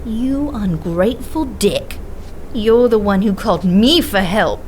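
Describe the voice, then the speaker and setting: A woman's voice: mid-range voice, lively intonation, measured pace, a little mellow, a little hiss or hum, lightly acted. An actress playing an earnest, proud, confrontational female investigator in a naturalistic audio drama. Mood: indignant, mocking.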